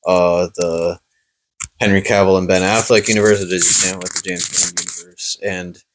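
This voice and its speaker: Deep-voiced man in casual movie chat. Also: flat delivery, normal speed, background noise. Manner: rambling, hesitant with many fillers. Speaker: podcast host.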